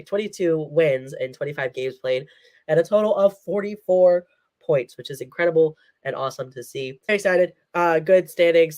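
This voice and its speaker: A man hosting a sports talk show, congested from a cold, chatting in a rambling way and laughing between phrases. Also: on the high side. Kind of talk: casual solo sports rundown.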